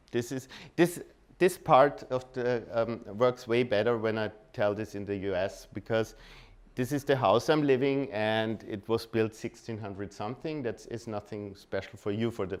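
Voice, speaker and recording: A man with an Austrian accent and German as his native language, giving an informal technical talk, often halting and rephrasing mid-sentence. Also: mid-range voice, clear, resonant tone. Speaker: conference speaker. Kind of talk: technical presentation at a meetup.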